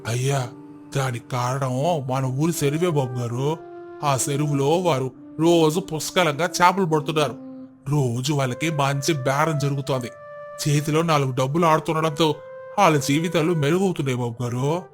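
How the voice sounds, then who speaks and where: A man's voice: fairly high pitch, moderate pace, over music, close to an everyday voice. A man playing an obsequious, scheming clerk to a landlord in a moral story, speaking deferentially and slyly. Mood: fawning.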